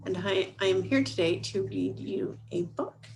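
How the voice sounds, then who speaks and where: A woman reading a children's picture book aloud expressively, with deliberate phrasing and drawn-out emphasis. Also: mid-range voice, gravelly, background noise. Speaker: picture book reader, planetarium director.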